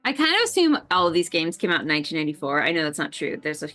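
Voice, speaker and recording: Woman streamer with lively, swinging intonation. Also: sits low, very fast, soft background music. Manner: playful, teasing. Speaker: game streamer. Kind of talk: casual livestream chat.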